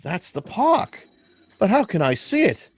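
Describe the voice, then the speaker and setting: Man playing a very animated, wide-eyed young man. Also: high voice, a little slow, faint music behind, full character voice. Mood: amazed. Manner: wide-eyed, hesitant, questioning. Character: curious, astonished, naive young man. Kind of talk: live reading of a radio drama script.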